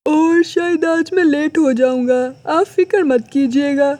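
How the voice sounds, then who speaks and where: Woman voicing an earnest, mellow young son. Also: high-pitched, a little slow. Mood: earnest, caring. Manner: earnest, dutiful, polite. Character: devoted, hardworking, poor young son selling momos. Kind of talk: cartoon moral story acting.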